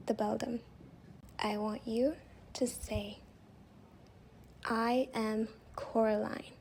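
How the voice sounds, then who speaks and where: Soft breathy non-native english girl doing guided meditation, mellow and kinda whispery, super reassuring, bit of background hiss. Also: a little high. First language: not English. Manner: gentle, reassuring, unhurried. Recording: faint background noise, home recording.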